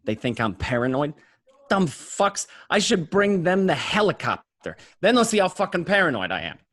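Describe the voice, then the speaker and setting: A man's voice: high voice, very animated, normal speed, fairly bright tone, dry recording, exaggerated voice. A man playing a paranoid, streetwise gangster narrating his day in a radio play, talking in a coarse, conversational way. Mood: irritated, paranoid. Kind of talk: first-person crime narration in a radio play.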